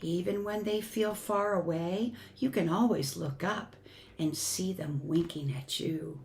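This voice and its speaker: A woman reading a children's picture book aloud in an expressive, deliberate way, as a church storyteller. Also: on the low side, a little hiss or hum. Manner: expressive, deliberate, shifting between emphatic and hushed. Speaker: church family ministry storyteller.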